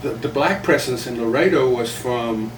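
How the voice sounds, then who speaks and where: An older man from South Texas whose first language is Spanish, telling stories from his career in an unhurried, reflective way. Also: mid-range voice. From South Texas, USA. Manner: unhurried, reflective, with small chuckles. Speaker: guest, journalist and playwright. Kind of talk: relaxed storytelling interview. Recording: roomy, distant mic with faint background noise.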